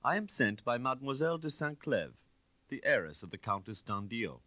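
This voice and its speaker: A man voicing a middle-aged, shabby ex-detective in a story, condescending and smoothly persuasive with quiet menace. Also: medium pitch, sing-song, fairly quick, no room sound, voice pushed up. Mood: coolly menacing.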